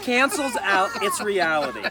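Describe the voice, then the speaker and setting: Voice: medium pitch, expressive, moderate pace, heavy background noise. Someone reading a humorous letter aloud to family, amused and often breaking into laughter. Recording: loud crowd chatter, outdoor family gathering. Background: people chatting and laughing.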